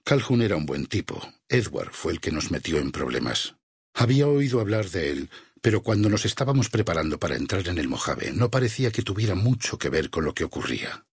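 An actor with a Castilian Spanish accent playing a solemn, devout, burned missionary warrior in a video game, raspy and gravelly, speaking in a grave, measured, preacherly way. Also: male voice, low voice, big pitch swings, no echo, clean studio sound, full character voice. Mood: calm, reflective. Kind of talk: dramatic game dialogue.

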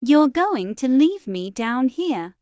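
A woman's voice: high voice, sing-song, takes it slowly, darkish tone, dry recording, clean studio sound, exaggerated voice. A woman voicing a reluctant, whiny young girl in a game roleplay, in short, simple replies. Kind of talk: scripted game roleplay dialogue.

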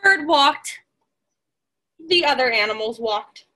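A woman's voice: high-pitched, expressive, slow, pushed and bright. A woman in her twenties reading a picture book aloud to young children, expressively and with questions for them.

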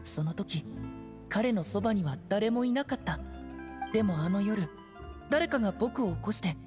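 A woman's voice: medium pitch, animated, moderate pace, close to an everyday voice. Timid, earnest teenage boy, played by a woman. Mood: earnest, resolute. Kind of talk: Japanese anime-style game voice acting. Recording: studio voice over game music. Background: background music.

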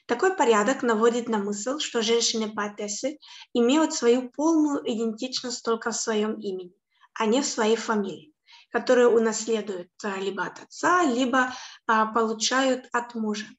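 Young woman lecturer on a webcam, slightly high voice, measured delivery with hesitant fillers, echoey room. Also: highs cut off. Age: young adult. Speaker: lecturer on Slavic poetry. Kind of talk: online lecture. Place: home room with bookshelves. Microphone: webcam on video call.

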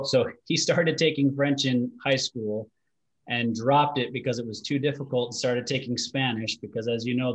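A man in his forties who grew up in Utah, hosting a business interview over a video call, friendly and conversational, with plenty of "you know" fillers. His voice sits at an ordinary mid pitch. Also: low-quality recording. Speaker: podcast host. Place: home office.

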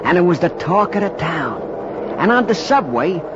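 An actor playing a folksy storyteller narrating a baseball ballad, rhythmically, in a 1940s radio play. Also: male voice, sits high, very animated, normal speed. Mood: jaunty, suspenseful. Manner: folksy, rhythmic, dropped endings. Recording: muffled, hissy 1940s radio recording.

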